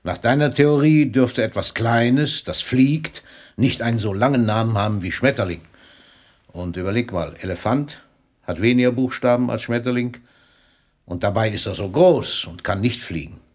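An actor playing an aging, famous poet in a German radio drama, a gruff but patient mentor with a measured, declamatory delivery. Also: male voice, mid-range voice, dry recording, studio quality, natural voice. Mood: patient, explaining. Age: older.

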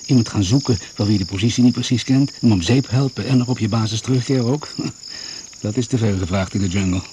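A man's voice: lowish voice, brisk, lightly acted. An actor playing a shrewd, wry, fatherly older investigator in a Dutch radio drama. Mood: wry, reasoning. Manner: wry, reasoning step by step. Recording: noisy old radio play recording.

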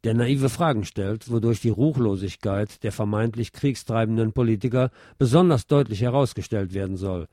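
A man reading scripted narration aloud in a measured, literary manner. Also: lowish voice, dark tone, dry recording, clean studio sound. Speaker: narrator reading an essay on German music history.

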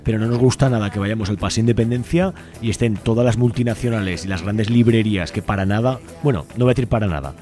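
A man's voice: lowish voice, fast talker, warm tone. A man with an accent from Spain speaking casually and enthusiastically as a radio guest, in a colloquial, rambling way that often seeks agreement. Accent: Spanish from Spain. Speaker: radio guest from a community library collective. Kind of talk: casual spoken radio interview.

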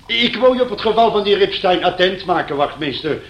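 Busybody neighbour, radio drama, officious, flustered, very animated pitch, roomy sound. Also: male voice, a little fast, slight background noise. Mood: officious, agitated. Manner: flustered, officious, apologetic, repeating himself. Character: fussy, busybody male neighbour phoning the police. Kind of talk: radio play acting.

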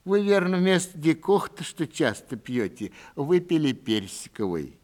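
A man's voice: a little high, sing-song, a little slow, a little mellow, pitched above the performer's own voice. A man voicing a kindly, anxious old husband with an emphatic, fretful delivery in a literary story. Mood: uneasy teasing.

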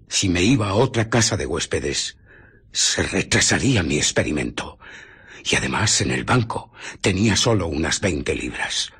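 Obsessed feverish scientist, castilian spanish, low husky voice, brooding radio drama stuff. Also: male voice, fairly bright tone, heavily acted. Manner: brooding, intense. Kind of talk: radio drama acting, first-person narration.

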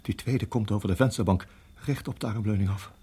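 An actor playing a tense husband in a radio drama, speaking in a hushed, halting, urgent way with a husky voice. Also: male voice, fairly low pitch, slight background noise, lightly acted. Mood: alarmed, urgent. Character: tense husband guiding wife through deadly snake danger. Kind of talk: radio drama acting and narration.

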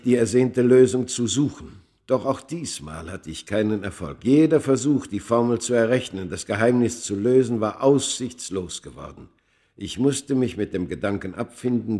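A low male voice for a radio play , an actor as an immortal man of ancient Egypt. He is world-weary and tormented, and tells of his centuries in a grave, measured, literary manner. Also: warm tone, natural voice. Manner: grave, deliberate, literary delivery. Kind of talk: dramatic first-person radio play narration.

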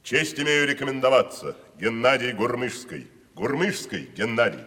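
An actor playing a grandiose, declamatory wandering tragedian in a 1970s radio play. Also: male voice, medium pitch, expressive, normal speed, sharp tone. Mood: mock-festive. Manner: theatrical, declamatory, mock-solemn. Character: grandiose wandering tragic actor, man, middle-aged. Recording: reverberant studio.

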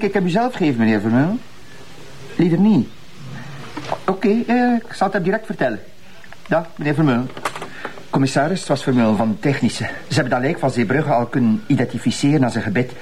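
A man's voice: mid-range voice, expressive, fairly quick, long pauses, a little mellow, close to an everyday voice. A man with a Flemish accent playing an eager, naive and somewhat hesitant junior police officer in a crime drama. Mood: hesitant, earnest. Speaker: actor. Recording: clear background noise and clicks.

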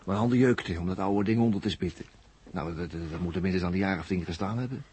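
An actor playing a chatty, wry astronaut driver in a 1960s radio drama, speaking in a colloquial way. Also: male voice, sits low, quick pace, darkish tone, slightly rough. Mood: wry, casual. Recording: noisy old radio recording with clicks.

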